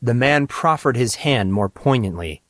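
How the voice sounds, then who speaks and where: A man reading scripted fantasy fiction as an audiobook narrator, with deliberate, dramatic storytelling. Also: medium pitch, expressive, a bit bright, no echo, studio quality.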